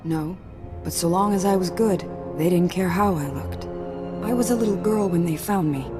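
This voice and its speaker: A guarded female spy, played by an actress, speaks low and wistfully. It is confessional, dramatic game acting with music clearly behind. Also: normal speed, natural voice. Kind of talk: scripted sci-fi game acting.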